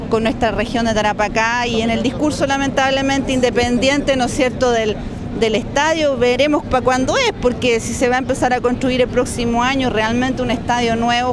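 A woman with a Chilean accent giving a critical, demanding press interview outdoors at a port. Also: brisk. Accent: Chilean Spanish. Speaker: congresswoman. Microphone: handheld press microphones. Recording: outdoor rumble and traffic behind.

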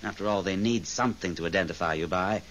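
An actor playing a wry, theatrical otherworldly guide to ghosts in a 1970s radio drama. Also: male voice, deep voice, fast, a bit bright. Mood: wry instructing. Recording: dull, faintly hissy 1970s radio.

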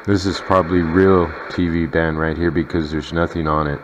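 A man's voice: very deep voice, flat delivery, moderate pace. A man giving a casual, unscripted demonstration as a hobbyist, explaining things in a matter-of-fact way in a kitchen. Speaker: radio hobbyist demonstrating a vintage set. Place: kitchen indoors. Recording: dull sound with faint background noise.

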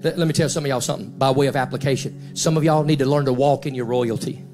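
A man's voice: mid-range voice, fast talker, music in the background. A man in his forties with a Southern US accent, preaching a fiery, folksy sermon as pastor on a handheld mic, moving between plain talk and emphatic declarations. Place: large church stage, indoors. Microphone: handheld microphone.

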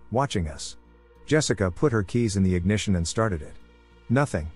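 A man reading first-person horror stories as a scripted narration, measured and unhurried with dramatic pauses. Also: fairly low pitch. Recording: soft music underneath.

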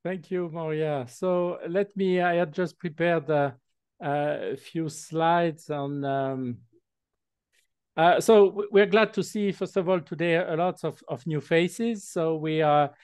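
A man's voice: a little high, slow pace, a little mellow, clear, resonant tone, dry recording, clean studio sound. A man co-hosting an online meeting, talking with many fillers and restarts over a video call. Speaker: meeting co-host.

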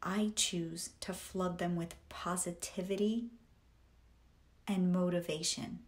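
A woman reading scripted guided meditation affirmations in a deliberate, measured way, in short phrases with pauses. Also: fairly low pitch, steady tone.

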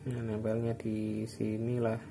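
A man narrates a casual hands-on tutorial in an informal, unscripted, halting way, recorded indoors at a workbench. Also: lowish voice, monotone, vocal fry, music in the background, poor audio. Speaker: electronics repair hobbyist giving a tutorial. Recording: background noise, phone or camera microphone.

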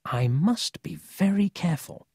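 Narrator doing earnest worried country kid in a storybook, higher voice, bit husky, stands up for himself. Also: sing-song, a little slow, a little mellow, no echo, studio quality. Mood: anxious, careful. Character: earnest, anxious young country boy on a thief's trail.

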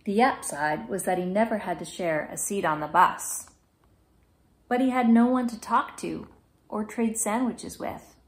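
A woman in her thirties reading a children's picture book aloud in a gentle, measured, clearly phrased way. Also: mid-range voice. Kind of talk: children's storybook read-aloud. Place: small indoor room at home.

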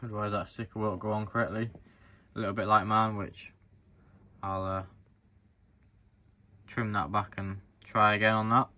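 A man's voice: fairly low pitch, flat delivery, normal speed, long pauses, sharp tone, dry recording. A man presenting a hands-on review in an informal, explanatory way, talking directly to viewers. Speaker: presenter of a farm model review channel. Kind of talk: casual hands-on review narration.

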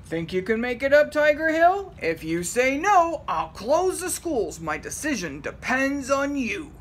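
A woman's voice: high-pitched, very animated, unhurried, pitched above the performer's own voice, exaggerated voice. A woman playing an urgent school superintendent.

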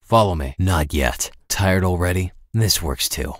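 An actor voicing a weary, cynical American mercenary in a video game, with a laconic, deadpan delivery. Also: male voice, sits low, measured pace, no room sound, clean studio sound, exaggerated voice. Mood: weary, cool. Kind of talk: video game character voice lines, short barks and remarks.

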